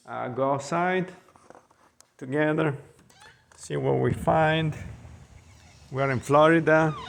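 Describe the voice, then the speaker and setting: A man's voice: medium pitch, gravelly, fairly bright tone. A middle-aged man from Italy with an Italian accent, a chef talking through his food in a rambling, hesitant way full of ums. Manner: rambling, frequent ums and uhs, hesitant English. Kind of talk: casual cooking vlog walk-through. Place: outdoors by the Gulf of Mexico in Florida.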